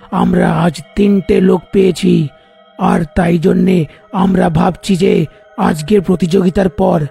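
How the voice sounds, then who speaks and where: Very gravelly, slow menacing ghost. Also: male voice, sits high, mellow, full character voice. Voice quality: gravelly, husky. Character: menacing male ghost who captures humans. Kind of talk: slow horror-cartoon voice acting.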